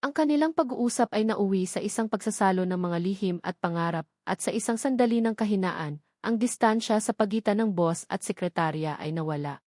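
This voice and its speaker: A woman reading a scripted romantic story in a measured, dramatic way. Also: mid-range voice, darkish tone, no room sound, clean studio sound. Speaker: narrator.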